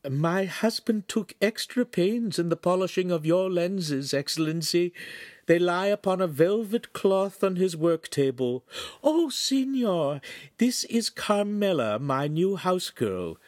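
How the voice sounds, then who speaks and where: A man's voice: sits high, sing-song, slow, darkish tone, no echo, clean studio sound, voice pushed up, exaggerated voice. A man voicing a polite, proud wife of a craftsman, courteous and deferential, in an audiobook.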